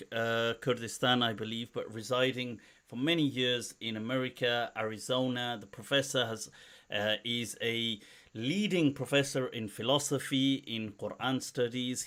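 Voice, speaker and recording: A man's voice: medium pitch, a bit bright. A man hosting an interview, introducing his guest in a friendly way, laughing easily and using many fillers. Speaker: host.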